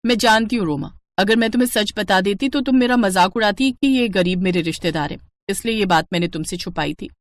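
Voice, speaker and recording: A woman's voice: mid-range voice, brisk, few pauses, no echo, natural voice. Woman playing a falsely polite rich wife, dry sound. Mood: scornful. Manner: placating, excuse-making. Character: status-conscious wealthy wife, evasive and falsely polite.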